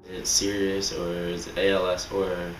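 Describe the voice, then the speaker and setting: A man in his twenties, recalling his family plainly and haltingly in a documentary interview. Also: sits low, completely flat delivery. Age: 20s. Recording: roomy sound with music.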